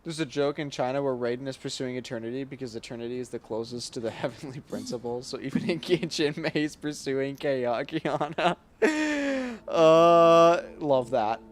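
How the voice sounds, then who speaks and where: A young man streaming a game with casual, joking, slangy commentary and quick reactions. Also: medium pitch, lively intonation. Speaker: gaming streamer.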